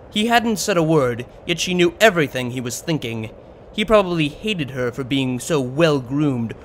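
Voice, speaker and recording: A man's voice: on the high side, fairly bright tone, slight background noise. A man reading scripted fiction as an audiobook narrator.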